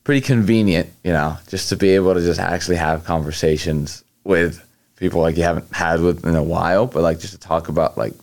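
Very deep-voiced young man, halting. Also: fairly even intonation, a little mellow. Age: young adult. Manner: halting, many fillers and restarts. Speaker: guest, college athlete. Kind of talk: casual interview answers.

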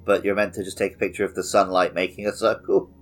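A man's voice: low voice, little pitch movement, fast, soft background music. A man co-hosting a casual gaming podcast, chatting with dry, wry remarks. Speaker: podcast co-host.

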